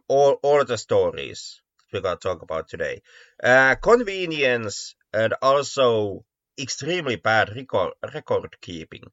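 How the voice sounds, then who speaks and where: Male co-host, halting repeated words, casual discussion. Slightly deep, recorded with some room echo. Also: a bit bright. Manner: stammering, often repeats words mid-sentence. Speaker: podcast co-host. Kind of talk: casual discussion of mystery stories. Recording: dull, lo-fi, roomy echo.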